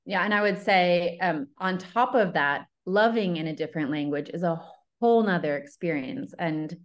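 A woman's voice: lowish voice, normal speed. A woman who works as a love coach, speaking as an interview guest in an earnest, enthusiastic, rambling way. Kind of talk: interview guest, casual conversation.

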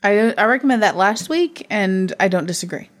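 A woman's voice: mid-range voice, expressive, normal speed. A woman co-hosting a casual film review podcast, teasing her co-host and laughing often.

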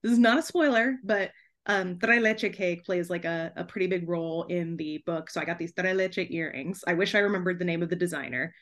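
A woman's voice: on the low side, fairly quick, fairly bright tone. A woman chatting casually and effusively as an interview guest on a podcast, in a giggly, informal way. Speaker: guest author and podcast host.

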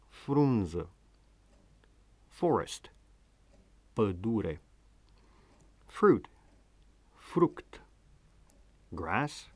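A Romanian-accented man presents a language lesson on the radio, his pitch rising and falling freely. He speaks slowly, pausing over each word. The sound is dry and close. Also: no room sound. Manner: slow, deliberate word-by-word teaching. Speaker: language show host.

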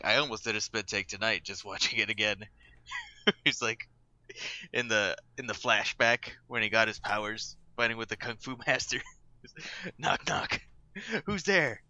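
Bright, laughing man on a dry mic. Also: medium pitch, no echo, dull audio. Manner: laughs often, swears, gushes. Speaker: podcast co-host. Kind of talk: casual movie review chat.

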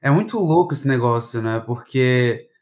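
Brazilian man chatting casually, mid-pitched voice. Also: lively intonation, normal speed, a little mellow. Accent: Brazilian, mild southern. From Florianópolis, southern Brazil. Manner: informal, rambling, slangy. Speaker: podcast guest. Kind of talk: casual chat.

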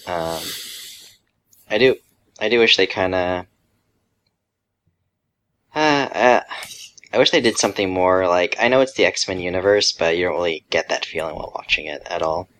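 A man's voice: low voice, normal speed, pauses often, a bit bright. A man speaking as a podcast co-host in casual, informal conversation.

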